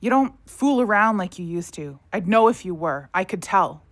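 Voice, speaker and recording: A woman's voice: mid-range voice, animated, moderate pace, close to an everyday voice. A woman playing a weary, guarded wife and mother in a naturalistic audio drama, plain-spoken and restrained. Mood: firm, frustrated.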